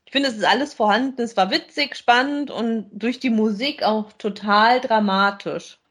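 Lady chatting on a podcast, fairly high voice, casual review talk. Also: moderate pace, fairly bright tone. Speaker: podcast co-host.

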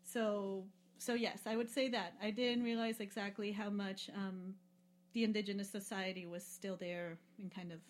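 A woman's voice: mid-range voice, steady tone, moderate pace. A woman chatting casually as a podcast guest, loose and rambling, with many fillers and frequent laughs. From lives in Peru. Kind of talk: casual travel chat.

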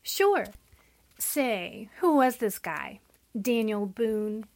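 An actress plays a brash, impulsive young woman in old-time radio style. Her high voice swoops freely. She talks in breezy slang and speaks her mind bluntly. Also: very animated, very slow, darkish tone, full character voice. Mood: breezy, playful.

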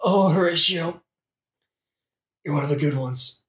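A man's voice: fairly high pitch, measured pace, fairly bright tone. A man playing a dying young prince, sarcastic and crude, with slangy, melodramatic delivery in a modern comedic audio play. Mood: weary, dying. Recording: roomy, echoing recording.

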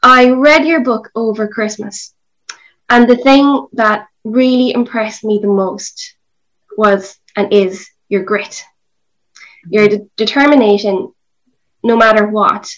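A woman's voice: on the high side, slow pace, fairly bright tone, highs cut off. A woman with an Irish accent interviewing a guest with encouraging questions.

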